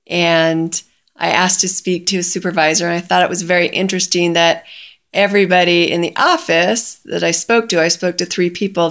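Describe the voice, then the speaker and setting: A woman's voice: lowish voice, fairly bright tone. A woman casually telling a personal story in a chatty way, often quoting both sides of a conversation.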